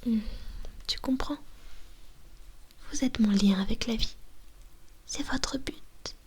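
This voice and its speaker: A woman's voice: fairly high pitch, takes it slowly, husky. A woman voicing a possessive, domineering female vampire mistress in an ASMR roleplay, mostly whispering, coaxing and commanding into a binaural microphone.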